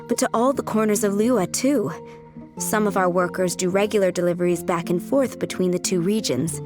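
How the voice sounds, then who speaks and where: A woman's voice: medium pitch, lightly acted. Courteous housemaid played by a woman, music behind. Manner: courteous, formal hostess speech. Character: polite, composed female head housemaid at winery. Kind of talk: anime-style video game voice acting.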